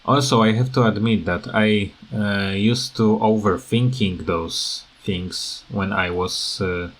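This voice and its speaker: Slow, hesitant man with a non-native English accent. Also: on the low side, steady tone, a bit bright. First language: not English. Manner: hesitant, halting, repeats words. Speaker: gaming video creator. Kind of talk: rambling unscripted game commentary.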